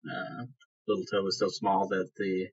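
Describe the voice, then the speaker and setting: A man's voice: sits low, completely flat delivery, moderate pace, husky. A man running a tabletop game as game master, improvising narration in a conversational, explanatory way. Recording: dull lo-fi podcast audio.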